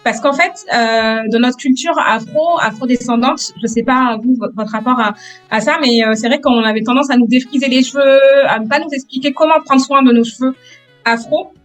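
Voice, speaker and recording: A woman in her thirties from France, a guest entrepreneur answering interview questions with many hesitant pauses. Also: high-pitched, faint music behind. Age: 30s. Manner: hesitant, many "euh" pauses, soft-spoken.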